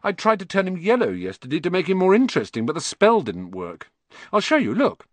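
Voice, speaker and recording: Cheeky young schoolboy, voiced by a male narrator; a touch higher, blunt and offhand. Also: wildly swooping pitch, a little fast, no room sound, full character voice.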